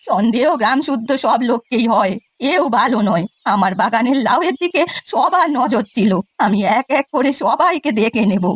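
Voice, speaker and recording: A woman's voice: a little high, lively intonation, moderate pace, no echo. A woman voicing a bitter, suspicious old village granny in a cartoon folk tale, scolding and lamenting. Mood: aggrieved. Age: older. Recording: music behind the voice. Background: music.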